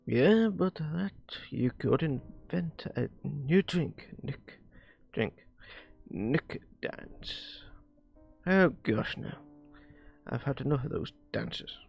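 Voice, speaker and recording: A man reading visual novel dialogue aloud on a live stream, haltingly, often stumbling over words and repeating phrases. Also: on the high side, animated, gravelly, breathy edge, faint music behind.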